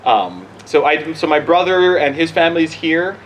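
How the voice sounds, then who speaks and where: A man in his forties from Barbados speaks in a slightly high voice. He talks informally and haltingly, doubling back on his sentences as he fields questions as a bishop. He is outdoors, with some background noise around him. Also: lively intonation, rough recording. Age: 40s. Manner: halting, conversational, often restarting sentences. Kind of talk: informal question-and-answer talk. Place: outdoors at a church gathering.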